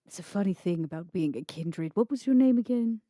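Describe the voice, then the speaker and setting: A woman playing a mocking, coolly sadistic vampire interrogator, making deliberate, sardonic threats in a tabletop game. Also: mid-range voice, expressive, warm tone, dry recording, studio quality, natural voice. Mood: menacing, sardonic. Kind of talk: tabletop role-play.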